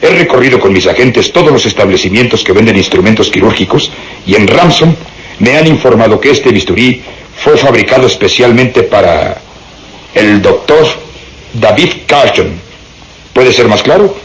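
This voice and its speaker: Un actor interpretando a un inspector de policía insistente y triunfal, de hablar declamatorio, en una radionovela. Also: male voice, on the low side, lively intonation, moderate pace, lightly acted, rough recording. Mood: confident, triumphant. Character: insistent, triumphant male police inspector, sure of himself. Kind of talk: radio drama acting.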